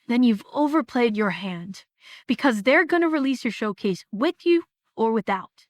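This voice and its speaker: A woman's voice: fairly high pitch, lively intonation, moderate pace, no echo, close to an everyday voice. A woman playing a skeptical, level-headed young streamer in a scripted audio drama, dry and blunt. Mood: exasperated, warning.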